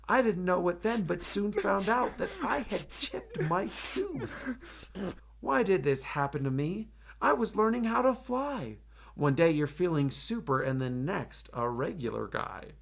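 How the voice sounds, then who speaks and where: A man's voice: high-pitched, very animated, a little slow, vocal fry, fairly bright tone, pitched above the performer's own voice, heavily acted. A man voicing a ten-year-old former superhero boy, wistful but determined, in a rhyming picture-book read-aloud. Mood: puzzled, dejected.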